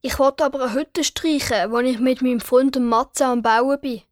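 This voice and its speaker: A woman's voice: sits high, steady tone, moderate pace, no echo, studio quality, lightly acted. A woman voicing a reserved, curt but polite young boy in Swiss German for a children's audio story.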